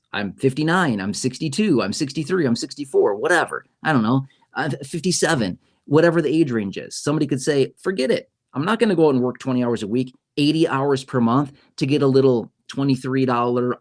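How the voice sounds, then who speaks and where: A man hosting a casual livestream on YouTube, explaining policy news in a conversational way and often checking in with "okay?" and "right?". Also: medium pitch. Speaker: YouTube host.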